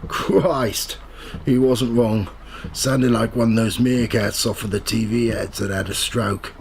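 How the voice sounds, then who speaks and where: A man's voice: lowish voice, steady tone, unhurried, creaky, a little hiss or hum. A man playing a nosy, cynical, pushy reporter in a radio play, with slangy, sardonic streetwise talk. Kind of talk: audio drama dialogue and first-person narration.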